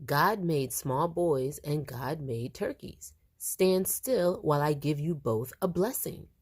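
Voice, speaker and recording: A woman voicing a gentle, amused male priest in a children's storybook, measured and kindly. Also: deep voice, lively intonation, slightly rough, full character voice.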